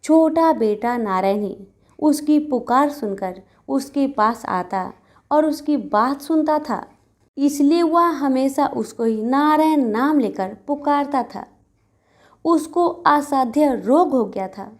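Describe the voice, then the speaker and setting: A woman's voice: sits high, animated, slow. A woman narrating a scripted devotional story as a storyteller.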